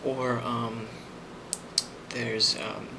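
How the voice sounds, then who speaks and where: Creaky, soft-spoken man as a friendly office boss. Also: mid-range voice, little pitch movement, very slow. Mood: calm, reassuring. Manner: soft-spoken, hesitant, reassuring. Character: friendly, rambling office boss giving a performance review. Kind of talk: ASMR role play. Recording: loud constant background noise.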